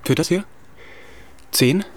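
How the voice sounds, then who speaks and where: A man's voice: takes it slowly, natural voice. An actor playing an earnest young male playwright in a radio comedy, polite and slightly defensive, in a natural conversational voice. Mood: unsure. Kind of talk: naturalistic radio play dialogue.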